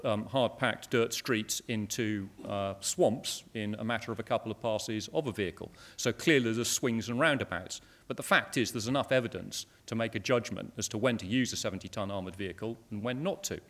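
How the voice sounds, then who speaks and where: Dry man at a lectern. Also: medium pitch. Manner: dry, matter-of-fact, with asides to the audience. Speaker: conference speaker. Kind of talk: conference talk at a lectern. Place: lecture hall stage. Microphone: lectern microphone.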